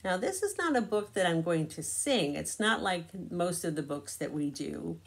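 A woman in her sixties reading a picture book aloud to young children, deliberate and expressive, pausing to explain. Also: lowish voice, vocal fry. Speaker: children's storybook reader. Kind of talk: read-aloud storytelling to young children with asides. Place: small living room, indoors. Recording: noisy amateur home recording.

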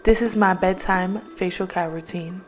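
A woman's voice: lowish voice, steady tone, normal speed, loud music behind the voice. A woman in her twenties narrating her nightly skincare routine in a neat, upbeat voice-over. She is ill and losing her voice. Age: 20s. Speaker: beauty vlogger.

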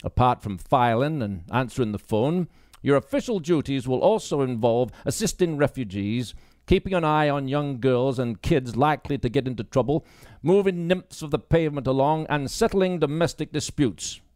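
A man's voice: medium pitch, lively intonation, moderate pace, darkish tone, no echo, clean studio sound, pitched above the performer's own voice, close to an everyday voice. A man voicing a brusque, condescending male police sergeant in an audiobook. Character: gruff, dismissive male police sergeant, short, Glaswegian, brusque.

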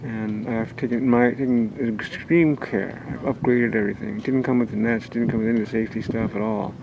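A man's voice: mid-range voice, steady tone, fairly quick, vocal fry, muffled recording. A man showing off his sailboat on a marina dock, talking in a rambling, upbeat way with lots of fillers. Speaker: skipper of a youth sailing nonprofit. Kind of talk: casual walkthrough vlog. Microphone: handheld camera mic. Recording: loud wind and water noise outdoors. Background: wind and boat ambience.

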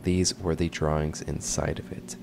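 A man's voice: extremely low pitch, level tone, normal speed, gravelly, noisy background. A young adult man giving scripted narration and commentary as a video host, close to a desk microphone in a small room at home. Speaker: host of horror video essay channel. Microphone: large desk microphone, close. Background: music.

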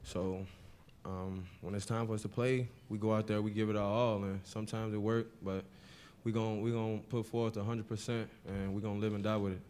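A young male college basketball player answering postgame questions at a table mic in a press room, soft-spoken with many fillers. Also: lowish voice, flat delivery, brisk, few pauses, slight background noise. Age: young adult.

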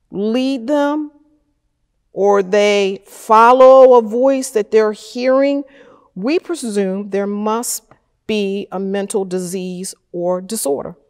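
A middle-aged woman pastor preaching a sermon from a church lectern, with slow, measured delivery and deliberate pauses. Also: on the high side, a little mellow. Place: wood-paneled church chancel, at a lectern. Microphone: fixed camera at a distance. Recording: church with a long reverb tail.

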